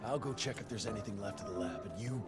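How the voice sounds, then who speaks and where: An actor playing a tough, hot-tempered young vampire hunter in a Wild West video game, speaking bluntly and impatiently. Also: male voice, lowish voice, quick pace, natural voice. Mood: defiant. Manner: blunt, impatient, foul-mouthed. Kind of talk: video game cutscene acting. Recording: loud music behind. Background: loud music.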